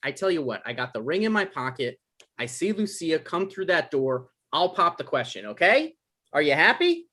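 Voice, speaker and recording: A man acting an anxious, defensive novelist in a table read, speaking in a halting, earnest way. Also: on the high side, sing-song, sharp tone, heavily acted. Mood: flustered, impatient. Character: anxious, defensive male novelist caught in romantic trouble.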